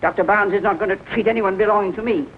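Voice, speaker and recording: An actress playing a stern, stubborn, domineering middle-aged aunt in a 1940s melodramatic film style. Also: female voice, medium pitch, very fast, lightly acted. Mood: stern, indignant. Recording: tinny, noisy old film soundtrack.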